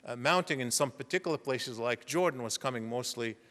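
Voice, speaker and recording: A middle-aged man delivering a public lecture in a hall with a long, lingering reverb. His pitch sits in an ordinary middle range for a man. He explains step by step, often pausing on "uh" and restarting his sentences. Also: a bit bright. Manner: measured, explanatory, with frequent fillers and restarts. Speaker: guest lecturer.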